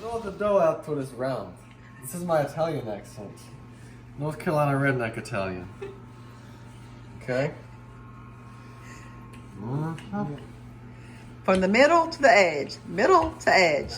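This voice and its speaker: A man's voice: fairly high pitch, very animated, unhurried, long pauses, fairly bright tone. A man from the American South putting on a mock Italian to play a hammy male pizza chef. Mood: playful. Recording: roomy, distant, noisy.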